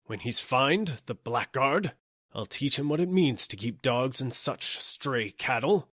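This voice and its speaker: A self-important police superintendent played by a male reader blusters through a storybook tale. He is stern and bossy, with a very animated, swooping delivery, recorded close and dry. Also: mid-range voice, unhurried, dry recording, pitched above the performer's own voice. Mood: stern, indignant. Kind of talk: audiobook character acting.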